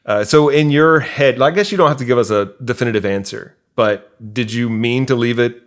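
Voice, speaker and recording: A man hosting a podcast, chatting casually and agreeably, feeling his way through questions in a halting manner. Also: medium pitch, clear, resonant tone. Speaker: podcast host, audio producer. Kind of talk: casual interview chat.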